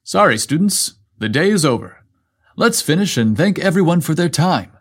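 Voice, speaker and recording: A man's voice: on the high side, big pitch swings, moderate pace, husky, voice pushed up. A man voicing a flustered, polite male schoolteacher who stammers and fumbles over his words in a story. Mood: grateful. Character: flustered, eager male elementary school teacher, gushing politely.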